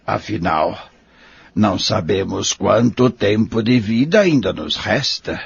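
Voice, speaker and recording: Guy doing kindly old man in radio play, gravelly n preachy, dry muffled recording. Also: fairly low pitch, expressive, slow, dry recording, full character voice. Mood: earnest. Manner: gently persuasive, moralizing. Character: kindly, patient old spiritist friend, an elderly husband. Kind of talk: audio drama acting.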